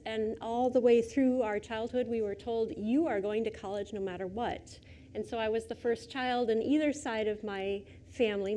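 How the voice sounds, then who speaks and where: A soft-spoken middle-aged woman giving a public lecture in a lecture hall, chatty and personal. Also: fairly high pitch, a little hiss or hum. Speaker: guest lecturer. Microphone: lecture microphone.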